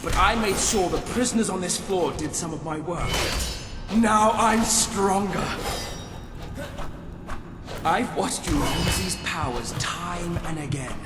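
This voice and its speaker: An actor playing a fanatical, scheming military commander in a video game, delivering grandiose, menacing speeches. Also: male voice, high-pitched, slow pace, long pauses. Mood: exultant. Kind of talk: dramatic video game villain acting. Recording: echoey hall, distant, loud music behind.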